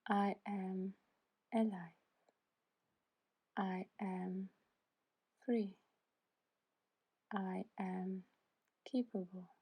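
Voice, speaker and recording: A woman guiding a scripted meditation in a soft, breathy, soothing voice, deliberately leaving long pauses between short phrases. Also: medium pitch, level tone. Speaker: meditation guide.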